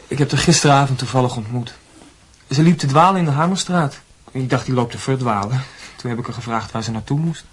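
An actor playing an awkward, inquisitive young medical student and skeptical son in a Dutch radio drama, blunt and sometimes stammering. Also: male voice, mid-range voice, fairly quick, a little hiss or hum, natural voice. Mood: casual, curious. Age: young adult.

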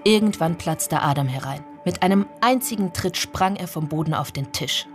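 An actress playing an observant, brooding village narrator, telling a radio drama in measured, literary sentences. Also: female voice, lowish voice, expressive, natural voice. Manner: measured, descriptive storytelling. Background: loud music.

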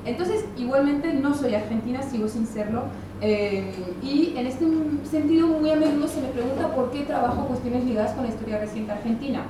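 A woman's voice: high voice, normal speed. A woman from France giving a university lecture in Spanish with a mixed French, Spanish and Buenos Aires accent, hesitant with many fillers and wry asides. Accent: mixed French-Spanish with a touch of Buenos Aires. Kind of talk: academic lecture to an audience. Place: large lecture hall. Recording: echoey hall from far off, audible room noise.

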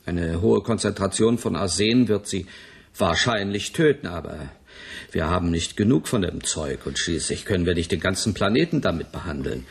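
An actor playing a sober colony scientist in a radio play, explaining grim findings in a matter-of-fact, hesitant way. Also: male voice, sits low, gravelly, slightly rough, exaggerated voice. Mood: grave. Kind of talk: 1980s German radio play acting.

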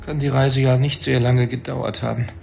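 A mid-pitched, creaky male voice of a bewildered Earthman woken from long sleep. He is irritable, curt and gruff, in a science fiction radio drama with faint music underneath. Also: level tone, moderate pace, darkish tone. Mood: baffled, irritable. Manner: gruff, foul-mouthed, curt. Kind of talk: German science fiction radio play acting.

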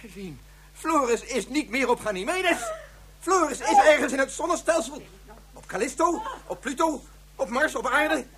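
An actor playing an agitated physicist in a Dutch radio drama, speaking in an earnest, formal, explanatory way. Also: male voice, extremely high pitch, lively intonation, moderate pace, sharp tone, exaggerated voice. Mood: distraught. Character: agitated male physicist defending his invention.

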